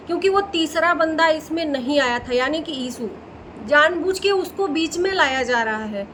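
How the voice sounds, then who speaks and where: A woman's voice: high-pitched, a bit bright, slight background noise, rough recording. A woman giving casual, opinionated reaction commentary as a vlog host, repeating phrases for emphasis.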